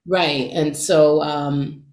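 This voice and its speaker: A woman's voice: low voice, fairly even intonation, extremely slow, a little mellow, roomy sound. A woman raised in Jamaica, whose first language is Patois, speaking thoughtfully in an interview. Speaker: actor, cast member. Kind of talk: casual interview chat.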